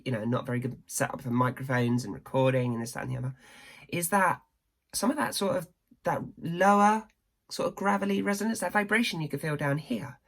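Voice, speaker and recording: A young woman with a slightly croaky voice, chatting casually and rambling to camera. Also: low voice. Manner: chatty, rambling, self-deprecating. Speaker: vlogger.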